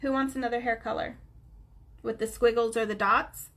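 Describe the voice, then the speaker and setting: A woman's voice: a little high, steady tone, normal speed, fairly bright tone. A woman with a stuffy, nasal voice, chatting casually with her viewers by name as she teaches coloring on a live stream. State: stuffy nose, sounds nasal. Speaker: craft instructor on a live stream.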